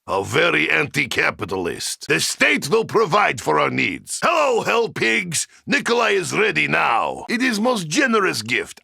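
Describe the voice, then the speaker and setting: An actor playing a gruff, angry, philosophical Russian soldier in a video game, with a thick Russian accent, a rough voice and declamatory broken English. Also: male voice, sits high, pushed and bright, no room sound, clean studio sound, heavily acted. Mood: loud, triumphant. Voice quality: gravelly, rough. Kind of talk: video game character acting.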